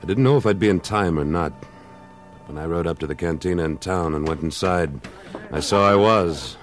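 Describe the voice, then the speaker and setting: A man's voice: low-pitched, brisk, close to an everyday voice. An actor playing a tough, laconic American cattleman in an old-time radio western, speaking in a terse, self-assured way. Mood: grim narrating. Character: tough, laconic cattleman gunslinger, a former cavalryman. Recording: old radio recording, faint music.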